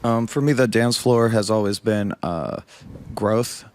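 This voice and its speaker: Low-voiced man, earnest panel talk. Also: measured pace, slight background noise. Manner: earnest, laughs while telling stories. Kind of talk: panel discussion.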